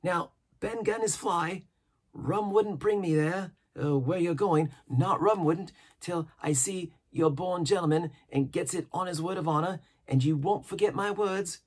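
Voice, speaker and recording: A man voicing a sly, excitable, half-wild marooned pirate in a storybook, with emphatic, repetitive sailor's speech and a husky voice. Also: high voice, measured pace, pitched above the performer's own voice. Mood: sly, cajoling.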